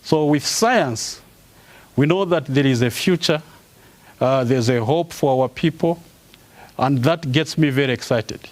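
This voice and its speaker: A man in his forties from Zambia, giving a formal academic presentation as a professor. He pauses often on "uh" and restarts his phrases. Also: medium pitch. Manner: halting, with frequent "uh" pauses and restarts. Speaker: professor giving an inaugural lecture.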